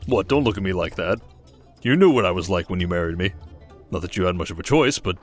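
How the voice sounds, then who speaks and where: A man acts out a young king in a fantasy audio drama. His voice sits a little low, with a stately delivery and a wry, teasing streak. Music plays softly behind him. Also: lively intonation, quick pace. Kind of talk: audio drama acting, ASMR roleplay.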